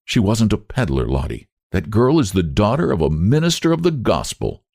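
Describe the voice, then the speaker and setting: A man voicing a proud, grieving older woman who speaks in a cool, prim, measured way in a storybook reading. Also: on the low side, very animated, gravelly, a little mellow, breathy edge, dry recording, clean studio sound, full character voice. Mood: cool defiant.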